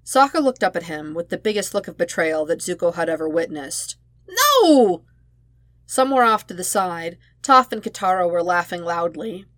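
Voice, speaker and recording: A woman's voice: lowish voice, a bit bright, no echo. A woman reading scripted fiction expressively as an audiobook-style narrator. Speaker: podfic narrator.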